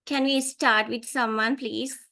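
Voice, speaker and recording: A woman with a Sri Lankan accent leading an informal online webinar, speaking in a halting, polite way. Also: a little high, steady tone, vocal fry, sharp tone. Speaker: psychological counselor leading a workshop. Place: online video call.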